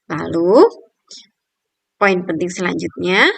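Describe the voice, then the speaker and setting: A woman's voice: lowish voice, very animated, slow, gravelly, no room sound. A young adult woman reading a scripted article review in a careful, point-by-point manner, like a student presenter.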